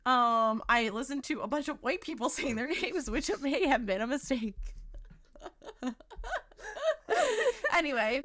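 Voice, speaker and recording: A young woman co-hosts a casual podcast, talking easily and laughing often. Her voice sits fairly high. The sound is dry and close, with no room behind it. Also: fairly bright tone, no room sound. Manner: chatty, giggly, talks about her high voice. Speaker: podcast co-host. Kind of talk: casual chat.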